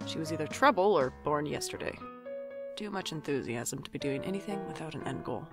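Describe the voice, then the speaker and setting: A woman's voice: low-pitched, expressive, brisk. A woman playing a wry, jumpy night-shift shop worker who narrates an audio drama in a dry, sardonic way. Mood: brooding narration. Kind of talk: audio drama acting with first-person noir narration. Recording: music bed behind the voice.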